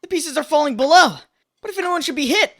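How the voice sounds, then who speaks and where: A woman's voice: high voice, expressive, fast, fairly bright tone. A woman playing an earnest young male superhero in a comic dub, emotional, breathless and full of guilt. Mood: panicked. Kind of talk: dramatic comic dub acting.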